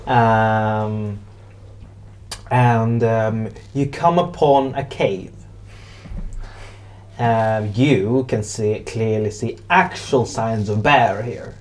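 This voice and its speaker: A man improvising narration as game master of a tabletop roleplaying game, in a hesitant, pausing way. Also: on the low side. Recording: faint background noise.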